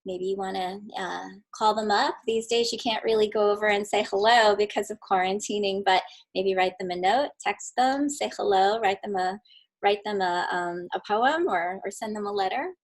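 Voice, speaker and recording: A woman reading a children's picture book aloud and then talking gently to young listeners with casual fillers. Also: medium pitch, normal speed. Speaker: children's story livestream reader.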